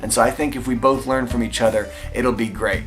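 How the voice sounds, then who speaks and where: A young man with a Texan drawl talks through viewer questions in a relaxed, enthusiastic vlog style. His voice sits at an ordinary middle pitch and is dotted with easy fillers. Faint music plays behind him. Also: a little fast, poor audio. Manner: enthusiastic, chatty, frequent fillers. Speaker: airsoft channel host answering viewer questions. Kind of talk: casual Q&A vlog.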